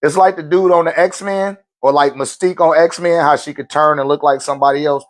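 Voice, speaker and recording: Male live-stream host, slightly high voice, relaxed slangy chat with viewers. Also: brisk. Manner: loose, conversational, slangy, laughs often. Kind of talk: casual live-stream talk answering viewer questions.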